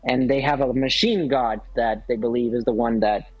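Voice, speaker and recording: A man with a husky voice and average pitch, talking casually. He explains things in a halting way, circling back over his phrases. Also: steady tone, dull audio. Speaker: guest on a live work stream. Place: hotel room.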